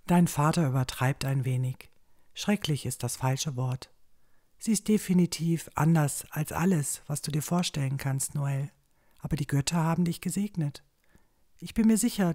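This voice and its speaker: A woman playing a gentle, reassuring village mother with a soothing, measured delivery in a fantasy audio drama. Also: low-pitched.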